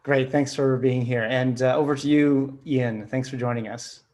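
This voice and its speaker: Male host of an online investor panel; mid-range voice; upbeat, brisk. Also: medium pitch, steady tone, a little mellow.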